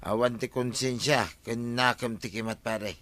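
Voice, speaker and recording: A man's voice: medium pitch, fairly even intonation, moderate pace, a bit bright, close to an everyday voice. An actor with an Ilocano accent playing an anxious, timid village man in a radio comedy drama, full of exclamations. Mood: warning.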